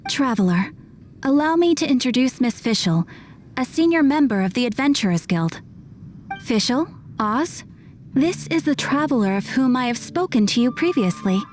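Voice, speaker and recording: A woman voicing a composed young female guild receptionist in a video game, polite, measured and formal. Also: high-pitched, big pitch swings, a little mellow. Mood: calm. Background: game music.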